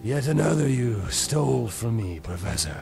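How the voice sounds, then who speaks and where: An actor playing a cruel, imperious ancient vampire overlord in a game cutscene, drawling with condescending, theatrical menace. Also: male voice, mid-range voice, big pitch swings, moderate pace, vocal fry. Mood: contemptuous. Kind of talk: video game cutscene acting. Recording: loud music under the voice.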